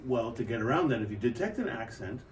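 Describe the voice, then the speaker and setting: A man in his fifties from New Zealand, a band drummer, telling music trivia in a relaxed, rambling way with frequent filler pauses. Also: mid-range voice, a little hiss or hum. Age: 50s. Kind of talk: casual storytelling chat.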